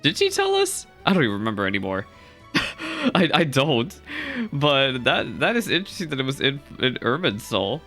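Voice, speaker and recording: Bright-voiced young man, casual reaction host. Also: medium pitch, huge pitch swings, moderate pace, faint music behind. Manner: informal, rambling. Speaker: gaming reaction video host.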